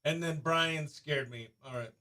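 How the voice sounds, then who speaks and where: A man hosting a radio show, chatting in an informal, excitable, slangy way. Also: on the high side, measured pace. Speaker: radio host. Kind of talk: casual behind-the-scenes chat and upbeat radio interview.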